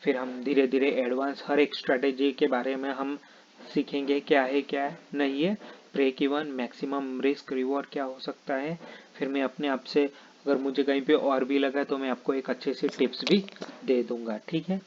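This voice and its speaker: A man whose first language is not Hindi, explaining options trading in a halting, filler-heavy way and often checking in with "ठीक है". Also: mid-range voice, little pitch movement, a little mellow, lo-fi sound, slight background noise. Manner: halting, many fillers and restarts, repeats "ठीक है". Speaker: trading teacher.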